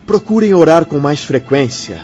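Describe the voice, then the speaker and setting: An actor playing a gentle, devout man who counsels his friends in formal, measured radio drama. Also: fairly high pitch, lively intonation, natural voice. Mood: gentle, reassuring. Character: Fernando, gentle, devout male medium, encouraging counsellor. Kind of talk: Brazilian radio drama acting. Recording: slightly dull audio, music behind.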